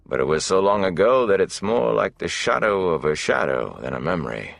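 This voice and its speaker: Man doing a low, gravelly backwoods loner. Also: big pitch swings, a little fast, fairly bright tone, dry recording, soft background music, full character voice. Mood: reflective, wry. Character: gruff, wry older wilderness man who runs with wolves.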